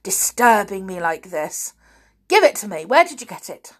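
A woman's voice: on the low side, animated, moderate pace, a bit bright, breathy edge, exaggerated voice. A woman voicing an irascible middle-aged uncle in a story, barking indignant questions and scornful remarks. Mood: angry, demanding.

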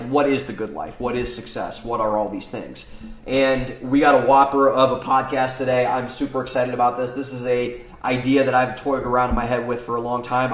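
A young man hosting a solo podcast, eager and rambling with restarts, sounding nasal as he recovers from a cold. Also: mid-range voice. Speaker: podcast host. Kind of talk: solo podcast monologue, partly reading his essay. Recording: echoey room.

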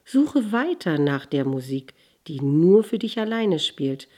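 Woman voicing a wise, commanding low-voiced sun. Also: big pitch swings, unhurried, warm tone, full character voice. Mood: solemn, kindly. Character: wise, commanding sun, stern yet kindly. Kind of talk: fairy-tale storytelling.

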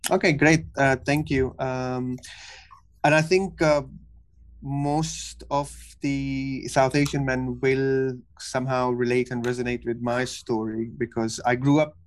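Earnest, flat-voiced man telling his story. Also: mid-range voice. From Pakistan, raised in Kuwait. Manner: halting, many fillers and restarts, earnest. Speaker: guest, cognitive behavior therapist. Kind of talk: personal story in a podcast interview.

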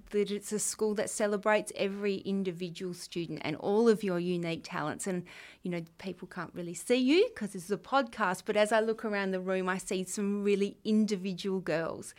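An Australian woman in her forties, a school leader answering students' interview questions in an earnest, chatty way. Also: mid-range voice. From Western Australia. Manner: warm, earnest, chatty with fillers. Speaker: school head of junior years.

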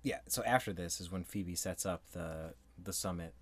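A man's voice: deep voice, normal speed, husky. A man co-hosting a podcast in casual chat, teasing his co-host and laughing often.

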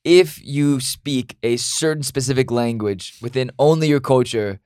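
A man's voice: medium pitch, unhurried, darkish tone, clean tone, no room sound, studio quality. A young man co-hosting a podcast, chatting casually in an upbeat, joking way. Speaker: podcast co-host.

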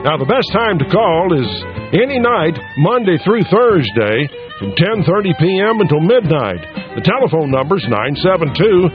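A man's voice: fairly high pitch, very animated, normal speed, music in the background. A man hosting a radio station, giving a folksy, homey on-air promo and asking listeners to call in and donate. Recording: dull lo-fi radio broadcast.

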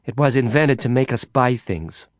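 A man's voice: medium pitch, animated, a little slow, no room sound, studio quality. A man doing a blunt, cynical woman. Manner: terse, muttering, hushed.

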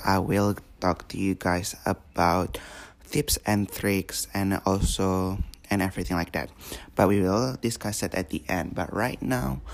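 Gravelly low-voiced teen podcaster. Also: male voice. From Indonesia. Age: teens. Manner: rambling, many fillers and repeated words. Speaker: podcast host. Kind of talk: casual solo podcast.

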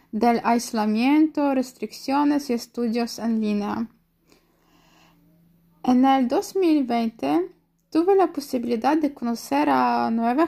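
A young woman reading a personal essay aloud in a slow, careful way. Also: high voice, a little mellow.

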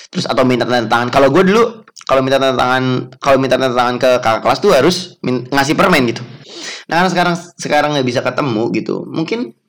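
A young man, talking casually on his podcast in a chatty, self-mocking way with many fillers and laughs. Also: medium pitch. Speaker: podcast host.

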